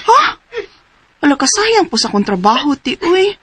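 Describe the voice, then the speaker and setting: A woman in her forties with a Cebuano accent playing a single daughter who cares for her mother in a radio drama, earnest and rising high in surprise. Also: very animated, normal speed, fairly bright tone. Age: 40s. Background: music.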